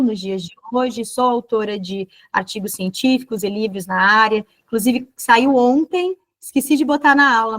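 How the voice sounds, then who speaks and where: A woman with a Brazilian Portuguese accent giving an online lecture as a dentist, explaining fluently and often checking in with tag questions. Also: a little high.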